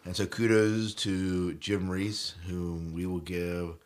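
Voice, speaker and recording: A man's voice: low voice, flat delivery, takes it slowly. A man hosts a casual podcast. He chats with jokey, geeky banter, as one fan to another. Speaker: podcast host.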